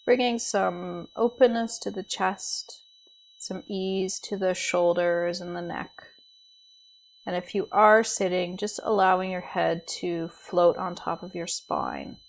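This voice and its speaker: Low, creaky-voiced woman guiding meditation. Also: steady tone, poor audio. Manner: gentle, soothing, unhurried instruction with pauses. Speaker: meditation teacher. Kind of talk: guided body scan meditation.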